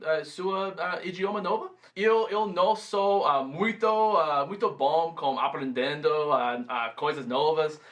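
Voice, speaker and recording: A man from the United States whose first language is English. He speaks slowly and haltingly, with lots of fillers and restarts, in a rather high, bright voice. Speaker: guest. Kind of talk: casual conversation.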